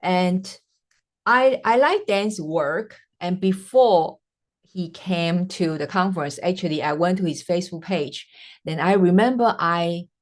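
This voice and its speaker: A woman from Taiwan, a native Mandarin speaker with a Taiwanese Mandarin accent, hosting her podcast and telling her own story earnestly, with frequent "uh" pauses. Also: lowish voice. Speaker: podcast host. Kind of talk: personal storytelling monologue.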